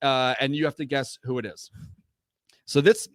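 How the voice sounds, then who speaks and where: A man's voice: medium pitch, steady tone, normal speed, a bit bright, dry recording. Man, sports podcast host, rambling, filler-heavy, slightly worn voice, dry close sound. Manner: rambling, laid-back, many fillers. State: recovering from a cough. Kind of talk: casual sports chat. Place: podcast studio.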